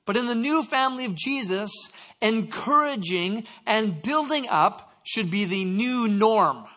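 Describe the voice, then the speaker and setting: A man from Canada delivers a sermon in a fairly high voice. He slips into personal stories with self-deprecating asides and stumbles through quick restarts. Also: animated, sharp tone. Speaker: pastor.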